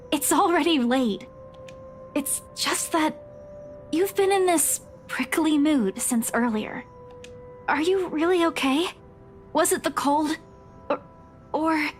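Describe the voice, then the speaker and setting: An actress in a visual novel playing an anxious, caring, chatty young woman who stammers and pleads. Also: high-pitched. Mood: concerned. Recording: music behind the voice.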